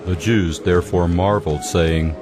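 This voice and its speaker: A male narrator with a deep voice tells a scripture story in scripted audio drama style. Clear background music plays under his reading. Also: fairly even intonation, normal speed.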